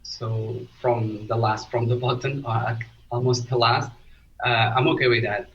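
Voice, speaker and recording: A man from Portugal speaking English with a Portuguese accent, giving a halting update in an online meeting. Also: medium pitch, flat delivery, fairly bright tone, slight background noise. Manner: halting, searching for words, non-native phrasing. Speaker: community meeting participant. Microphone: video call. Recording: dull lo-fi call audio.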